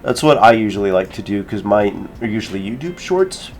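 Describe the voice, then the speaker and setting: Man, low voice, casual tutorial creator, informal, thinking aloud, soft background music. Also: big pitch swings, fairly quick. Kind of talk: casual screen-recorded software tutorial.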